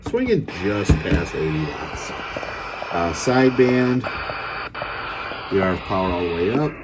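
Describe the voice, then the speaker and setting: A man giving a casual, unscripted product demo as a seller, speaking plainly and hesitantly with frequent pauses. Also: low-pitched, sing-song. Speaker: auction seller demonstrating radio gear. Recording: clear background noise, home video.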